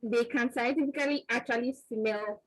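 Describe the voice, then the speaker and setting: A woman's voice: a little high, normal speed, low-quality recording. A woman in her thirties with a Nigerian accent, speaking as a panelist on a video call. She talks earnestly and emphatically and repeats words as she builds each point. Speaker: panelist, vaccine research scientist. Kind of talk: motivational panel answer.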